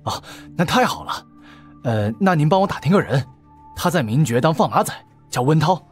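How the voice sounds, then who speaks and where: Male actor as gang fixer, audiobook drama, scheming, resentful, lively pitch. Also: moderate pace, soft background music. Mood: fawning, polite. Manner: obsequious on the phone, bitter in private.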